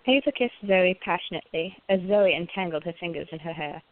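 Lowish-voiced woman narrator, thin phone-like sound. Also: fairly quick. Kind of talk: scripted narration of a romantic radio play.

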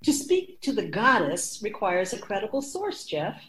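A woman's voice: mid-range voice, sing-song, moderate pace, fairly bright tone. A woman playing an assured immortal keeper of souls in a radio drama, explaining things in a composed, formal, didactic way. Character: feminine-voiced immortal spirit keeper of souls, assured and instructive.

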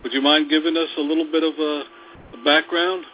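A man hosting a round-table interview, halting and stumbling over names as he introduces a guest. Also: on the high side, level tone. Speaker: host. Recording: tinny phone line with faint noise.

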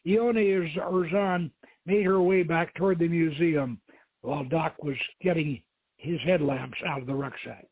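Male storyteller-host, high and husky, heard through a narrow phone line with room echo. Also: fairly even intonation, unhurried. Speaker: host reading aloud his own novel. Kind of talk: dramatic reading and informal hosting. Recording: thin phone-like radio line, roomy echo.

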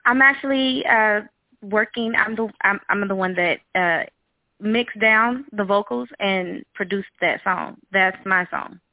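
A woman guest producer chatting casually on a radio show, animated and self-deprecating. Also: medium pitch, measured pace, no room sound. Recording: tinny phone line.

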